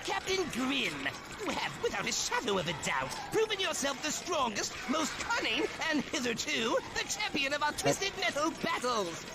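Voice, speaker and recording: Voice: sits high, very animated, normal speed, hardly any pauses, heavy background noise. A performer voicing a gleeful, showy game announcer who grants wishes in a grandiose, exclamatory way. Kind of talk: theatrical game cutscene acting.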